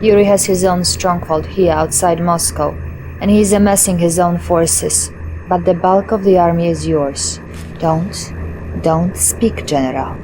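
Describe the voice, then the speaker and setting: Woman playing a Russian lieutenant over clear noise. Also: on the low side, steady tone, measured pace, close to an everyday voice. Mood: confiding, grave. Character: loyal, composed female Soviet military intelligence lieutenant. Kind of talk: game cutscene briefing.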